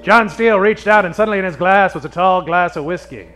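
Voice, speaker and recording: A man's voice: high voice, fast, a bit bright, soft background music. A man playing the wry, tongue-in-cheek narrator of a comic detective radio play, reading scripted narration. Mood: wry storytelling. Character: wry male narrator of a noir detective spoof.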